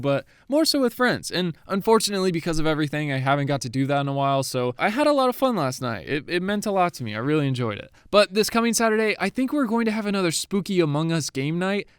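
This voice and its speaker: Young man, raised pitch, sniffly, chatty creator talk, dry close sound. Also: clean tone, no room sound. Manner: chatty and eager. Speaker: YouTube creator. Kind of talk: casual commentary to camera.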